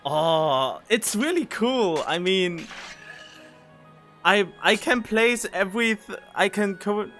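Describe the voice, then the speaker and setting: A man hosting a casual gaming reaction video, talking in a halting way as he thinks aloud into a studio microphone. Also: high voice, expressive, pushed and bright, soft background music. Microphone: studio condenser microphone.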